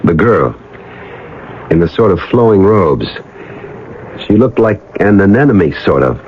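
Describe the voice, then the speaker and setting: An actor playing a young adventurous deep-sea diver in a radio drama, dreamy and entranced as he tells his story. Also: very animated, a little slow, a little hiss or hum. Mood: entranced, insistent. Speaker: actor narrating and playing the lead. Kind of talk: radio drama acting with first-person narration. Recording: dull vintage radio recording, music behind.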